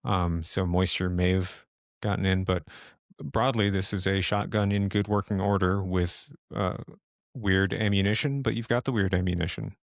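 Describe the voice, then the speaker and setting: A man narrating a tabletop horror game as game master, improvising in a halting way as he thinks aloud. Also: low-pitched, fairly even intonation, creaky, dry recording, studio quality.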